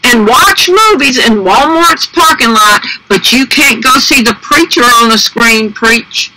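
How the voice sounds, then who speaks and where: A man's voice: very high voice, animated, a little slow, noisy background. A man giving emphatic commentary, repeatedly asking his audience "okay?". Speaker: conspiracy video commentator. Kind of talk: played video commentary.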